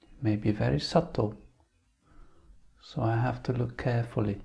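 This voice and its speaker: A man leading a guided meditation in a calm, unhurried manner, leaving long pauses. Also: mid-range voice, gravelly, muffled recording.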